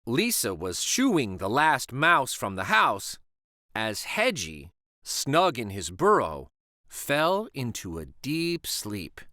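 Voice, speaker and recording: A man hosting a children's read-aloud, narrating a storybook in a slow, expressive and soothing way. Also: mid-range voice, no echo, clean studio sound.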